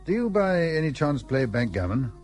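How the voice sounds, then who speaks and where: An actor playing a hearty, pompous British colonial host with old-fashioned clipped phrasing in a period radio play. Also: male voice, a little high, big pitch swings, moderate pace, a little mellow, soft background music. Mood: hearty, sociable. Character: blustery, sociable British colonial husband and host.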